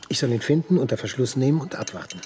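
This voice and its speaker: An actor playing a hard-bitten German printer and former prisoner in a radio crime drama, laconic and dryly ironic. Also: male voice, medium pitch, lively intonation, fast, darkish tone, natural voice. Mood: wry, laconic.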